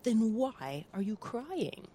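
A woman's voice: fairly high pitch, very animated, takes it slowly, dark tone, breathy edge, heavily acted. Woman playing a boastful little monster. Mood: gloating. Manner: gleeful boasting. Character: small would-be scary male monster, boastful.

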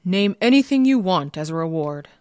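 A woman's voice: on the low side, lively intonation, dry recording, clean studio sound, lightly acted. Storybook emperor, voiced by a woman: male ruler, grand, stern, emphatic, projecting. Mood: grateful, grand. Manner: loud, emphatic, measured.

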